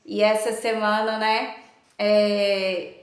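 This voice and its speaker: A woman telling a personal faith testimony in an earnest, reflective way, with many pauses and fillers. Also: mid-range voice, little pitch movement. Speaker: motivational speaker. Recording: echoey room.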